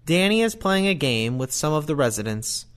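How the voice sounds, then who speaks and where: A man's voice: a little high, very animated, moderate pace, clean tone. A man narrating the scripted stage directions of an audio play. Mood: neutral.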